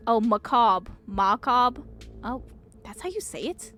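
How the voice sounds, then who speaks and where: Excitable, giggly woman streamer over music. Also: fairly high pitch, takes it slowly. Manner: excitable, giggly, stumbles over unfamiliar words. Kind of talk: casual, reactive game livestream chat.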